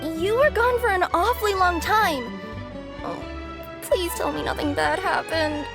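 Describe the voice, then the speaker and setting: Casting: a modest cat-spirit delivery girl, very high voice, polite and eager to please. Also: expressive, a little slow, music in the background, heavily acted. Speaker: courier.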